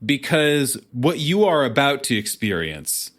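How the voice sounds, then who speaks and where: A man's voice: medium pitch, very animated, a little slow, a bit bright. A man with a mid-range voice hosts an interview in a casual, chatty style. He wanders into wordy asides and likes a playfully mock-dramatic delivery. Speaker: interview show host.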